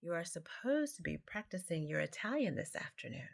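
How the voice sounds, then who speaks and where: A woman voicing a gentle, patient mother cat in a storybook, calm and mildly firm. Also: on the low side, a little fast, creaky, heavily acted. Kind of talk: storybook character voice.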